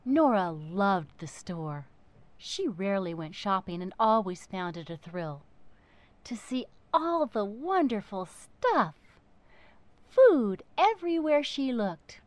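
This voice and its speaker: A woman narrating a children's book in an expressive, theatrical way, with dramatic emphasis and pauses. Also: mid-range voice, dry recording.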